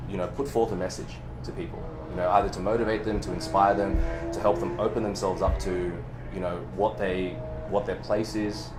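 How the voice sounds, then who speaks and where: A deep-voiced man talking in a loose, animated way as a podcast guest, tripping over his words and swearing now and then. His first language is Cantonese. Music plays clearly underneath him throughout. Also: fairly quick, hardly any pauses, low-quality recording. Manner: animated, swears freely, many restarts. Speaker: podcast guest, movement coach. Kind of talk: casual interview talk.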